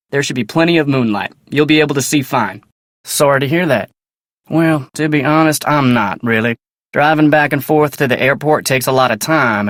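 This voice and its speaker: An actor playing a polite, earnest young ranch hand in a video game, with a folksy American Western drawl and a plainspoken way of talking. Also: male voice, mid-range voice, fairly bright tone, no echo, clean studio sound, natural voice. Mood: plainspoken, courteous. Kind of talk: video game character dialogue.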